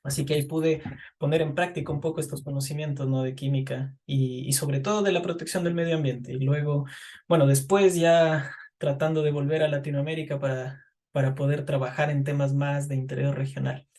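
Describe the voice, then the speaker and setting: A middle-aged man from Ecuador speaks as a guest in an interview, in a voice pitched a little higher than most men. He talks in a thoughtful, halting way and often repeats words while he gathers his thoughts. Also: level tone, darkish tone. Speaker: guest chemist. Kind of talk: interview answers.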